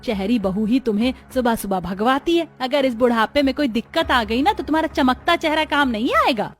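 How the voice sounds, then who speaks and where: A woman acts out a gossiping village neighbour from a cartoon. She is meddling and needling in a high-pitched voice, with music faintly underneath. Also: brisk. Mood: gossipy, needling. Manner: meddling, warning.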